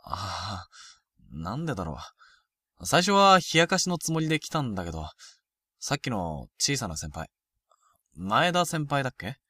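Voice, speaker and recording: Guy acting a blunt proud teen athlete, mid pitch, lively intonation, dry close sound, romcom audio drama. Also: normal speed, long pauses, slightly rough, dry recording, heavily acted. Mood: casual, reflective. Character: frank, proud, sporty first-year high school boy. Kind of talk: Japanese youth romantic comedy voice drama.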